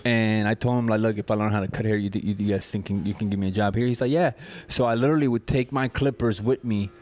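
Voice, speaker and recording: A man's voice: fairly low pitch, steady tone, fast, a little mellow. A man, a podcast guest chatting casually and telling stories in a laid-back way. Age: 30s. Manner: storytelling, laid-back, says "you know what I'm saying" often.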